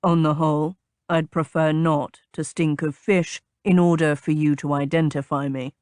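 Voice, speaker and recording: A woman lowers her voice to play a stern captain with a deep, clipped delivery. He sounds formal and unmoved. The dry, close reading leaves no room around him. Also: flat delivery, normal speed, a little mellow, no room sound, lightly acted.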